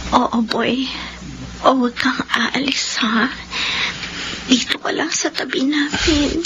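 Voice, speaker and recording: Gentle young mother, Filipino accent, affectionate, unhurried, radio drama, dull recording with faint noise. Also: sits high, fairly even intonation. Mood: tender.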